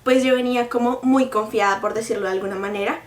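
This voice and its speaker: A young woman talks to her viewers in an earnest, explaining way, her voice sitting a bit high. She sounds set back from the mic in a room with a noticeable echo. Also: fairly even intonation, fast, recorded from a distance. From Colombia. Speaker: vlogger. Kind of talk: casual talk to camera.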